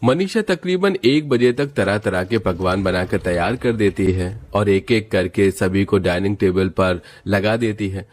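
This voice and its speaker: Low-pitched male storyteller. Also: a little mellow. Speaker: narrator. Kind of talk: scripted story narration.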